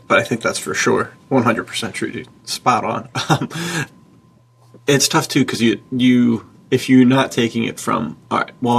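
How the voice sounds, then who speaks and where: A man with a very creaky, husky voice co-hosts a podcast in a loose, chatty style. He is enthusiastic and slangy, talking as if to a good buddy. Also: mid-range voice, fairly bright tone. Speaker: podcast co-host and writer. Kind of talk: casual podcast chat.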